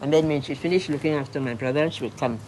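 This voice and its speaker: A man's voice: a little high, fast, a little mellow, a little hiss or hum, rough recording. A man in his seventies from Singapore, hesitantly recalling his childhood in a documentary interview. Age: 70s. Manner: hesitant, with restarts.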